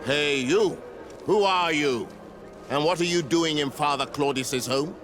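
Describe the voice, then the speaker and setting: An actor playing a suspicious, zealous priest of an inquisitorial order in a video game, haughty and accusatory. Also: male voice, on the high side, measured pace, over music, natural voice.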